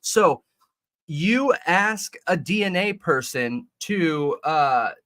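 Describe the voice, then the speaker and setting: A man's voice: a little high, lively intonation, very slow, fairly bright tone. Chatty man on a ringing mic. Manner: rambling, restarts mid-thought. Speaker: podcast co-host. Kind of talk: casual livestream chat. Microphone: desk mic with a metallic ring.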